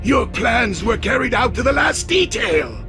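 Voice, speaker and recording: A treacherous, boastful robot underling in a game cutscene. The voice is high and swings widely in pitch, pompous and theatrical. Music plays clearly behind it. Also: male voice, big pitch swings, a little slow, full character voice. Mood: defiant, indignant. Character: scheming, boastful, defiant villainous robot lieutenant. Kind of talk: video game cutscene acting.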